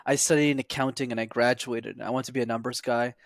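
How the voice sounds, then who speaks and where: A man's voice: mid-range voice, flat delivery, fast talker, vocal fry, dry recording, clean studio sound. A young man from Montreal, a public speaking coach, answering interview questions fluently and with an upbeat storytelling manner. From Montreal, Quebec, Canada. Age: young adult. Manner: fluent and upbeat, tells stories with punchlines.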